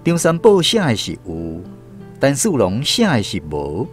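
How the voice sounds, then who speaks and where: A man with a Taiwanese Hokkien accent narrating a scripted radio story in an expressive storyteller's style. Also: mid-range voice, measured pace, soft background music.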